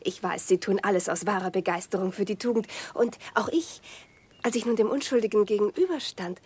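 A woman's voice: medium pitch, a little fast, darkish tone, husky, close to an everyday voice. An actress in a German radio drama playing a frivolous, condescending society lady with an affected, coquettish, playfully cruel manner. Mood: gushing.

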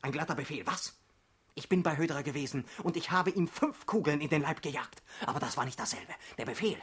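An actor playing a bitter, talkative young ex-convict party man in a German radio drama, husky and ironic. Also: a little high, expressive, fairly quick, a bit bright. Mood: agitated, defiant.

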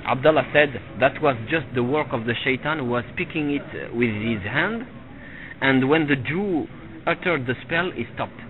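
A middle-aged man teaching a religious lesson to a live audience, halting and repeating himself and often checking with "you see?". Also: medium pitch. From Tunisia. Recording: phone-like lo-fi recording with crowd noise. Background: listeners gathered in the room.

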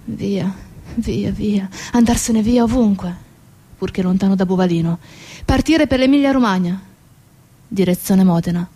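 A woman narrating a dramatic theatre monologue, solemn and deliberate, in short measured phrases. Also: mid-range voice, mellow. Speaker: stage actress narrating.

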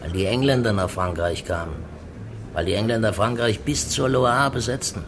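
An actor playing a blunt, knowing common guard in a radio play, talking in an emphatic, sardonic way. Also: male voice, fairly low pitch, moderate pace, lightly acted. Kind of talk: German radio play acting. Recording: noisy radio drama with background ambience.

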